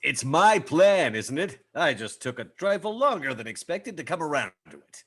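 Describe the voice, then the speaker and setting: Man acting indignant starship captain, haughty, sharp forceful tone, swooping intonation, comic sci-fi read. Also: a little high, big pitch swings, normal speed, husky, heavily acted. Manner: pompous, haughty. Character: pompous, indignant male starship captain, easily affronted.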